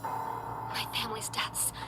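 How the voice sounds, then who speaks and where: A young woman playing a defiant, wounded video game heroine, halting and breathy in her delivery. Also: lightly acted. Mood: tense, accusing. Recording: loud music over the voice.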